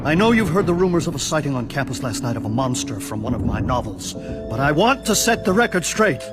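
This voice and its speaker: A man's voice: fairly high pitch, very animated, a little fast. A man playing an agitated novelist, emphatic and declamatory as he speaks up indignantly. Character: agitated novelist indignantly denying his monster tales. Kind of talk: dramatic character acting. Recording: background music.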